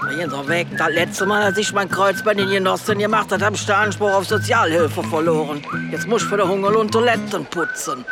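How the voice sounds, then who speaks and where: An actor playing an embittered, weary toilet cleaner who grumbles brusquely in a satirical radio play. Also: male voice, sits high, huge pitch swings, brisk, loud music behind the voice. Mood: bitter, grumbling. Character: embittered, weary low-paid toilet cleaner snapping at strangers.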